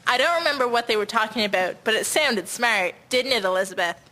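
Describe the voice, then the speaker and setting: A woman's voice: on the high side, lively intonation, brisk, sharp tone. A female actor playing a proud, earnest 1950s schoolgirl in an amateur audio drama, with careful recited delivery.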